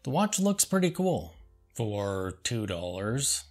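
Man, deadpan comedic host, sarcastic, unhurried drawl, average pitch, faint background music. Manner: dry, sarcastic, slow and deliberate. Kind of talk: deadpan comedic commentary reading reviews.

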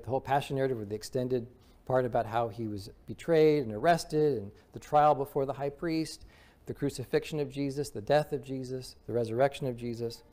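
A man's voice: mid-range voice, warm tone. A man in his forties, a visiting minister preaching a sermon from a church pulpit, explaining earnestly with emphatic lists. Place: church sanctuary, at a wooden pulpit.

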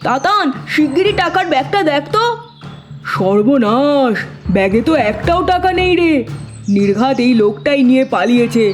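Young child, caring and bright, for animation: high pitch, light music underneath. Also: female voice, very animated, moderate pace. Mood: alarmed. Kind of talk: children's cartoon acting.

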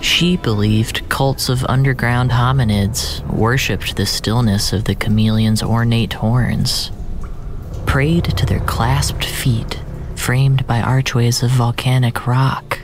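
A narrator delivering a scripted, surreal audio drama in a measured, dramatic way, often sinking into a breathy near-whisper. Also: mid-range voice, fairly even intonation, music in the background, low-quality recording.

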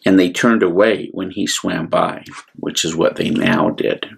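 Guy reading a kids bedtime story, slightly husky, really animated and unhurried. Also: low-pitched. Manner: expressive, deliberate, with asides to listeners.

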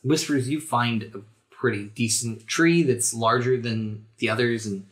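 A man's voice: lowish voice, a bit bright. Casual man running a tabletop game. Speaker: game master.

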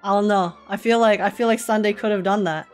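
A woman's voice: medium pitch, steady tone, fast talker. A young woman giving casual, chatty, giggly reaction commentary while playing a game. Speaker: streamer. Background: game music.

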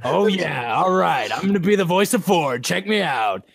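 A man voicing an awkward, eager fan in an improvised comedic cartoon dub, creaky and hesitant, full of drawn-out fillers. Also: high-pitched, animated, dry recording, full character voice. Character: awkward, eager, intrusive male fan wanting a part.

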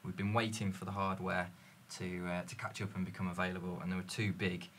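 A man's voice: low-pitched, level tone, brisk, fairly bright tone, a little hiss or hum. A man in a panel discussion, explaining fluently and telling stories from his business, recorded in a dim studio lounge. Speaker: company representative.

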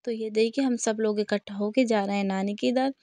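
A woman's voice: mid-range voice, steady tone, a little fast, warm tone, no echo. A woman, narrating a family vlog in a casual way. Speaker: vlogger.

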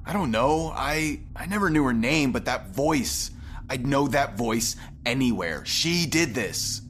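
An actor playing a dazed, wounded young male clone in a science fiction audio drama, speaking haltingly and in eerie, repetitive, incantatory phrases. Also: mid-range voice, expressive, fairly bright tone, slight background noise. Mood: agitated.

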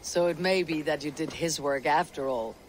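A man playing a stoic, steadfast Viking warrior leader in a video game, speaking in a measured, solemn way. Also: on the high side, a little hiss or hum, lightly acted, poor audio. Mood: calm, grave. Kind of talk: dramatic video game cutscene acting.

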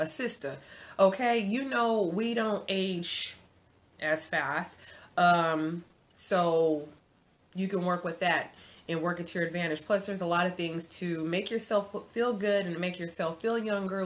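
A woman in her forties giving casual dating advice to viewers from her home, chatty and animated, calling the viewer sis. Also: mid-range voice. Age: 40s. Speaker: vlogger. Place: home room, indoors.

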